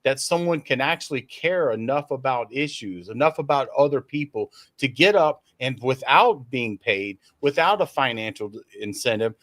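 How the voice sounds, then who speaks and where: A man's voice: medium pitch, expressive, normal speed, few pauses, a bit bright, dry recording. A man hosting a casual podcast chat, joking with dry sarcasm.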